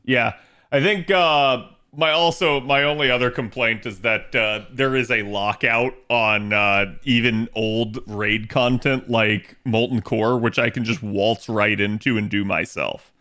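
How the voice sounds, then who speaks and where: A man's voice: mid-range voice, steady tone, unhurried, pushed and bright. Laid-back man streaming a game. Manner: laid-back, slangy, laughs at himself. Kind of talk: casual gaming stream chat.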